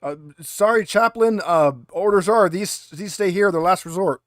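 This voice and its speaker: A man's voice: high voice, moderate pace, no room sound, pitched above the performer's own voice, close to an everyday voice. A man voicing an apologetic but firm military supply worker in a tabletop game, hesitant and deferential.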